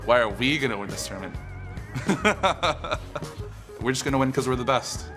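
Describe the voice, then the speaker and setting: A man in his twenties from California, a tournament player talking in a relaxed, joking way. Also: mid-range voice, normal speed, music in the background. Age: 20s.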